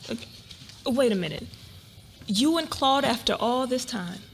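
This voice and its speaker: A woman playing a shocked, hurt wife in a live dramatic reading, with a husky voice and halting delivery. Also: fairly high pitch, expressive. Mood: stunned, hurt. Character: shocked, hurt wife of a husband revealing an affair. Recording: live reading with room noise.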